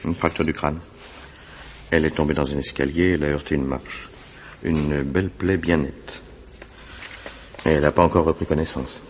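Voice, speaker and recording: A really deep voiced hospital intern, offhand, old radio mystery, slight background noise. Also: male voice, fairly even intonation, moderate pace, pauses often. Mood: blasé, clinical. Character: blasé hospital intern, casually reporting on a patient. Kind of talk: 1950s French radio crime drama acting.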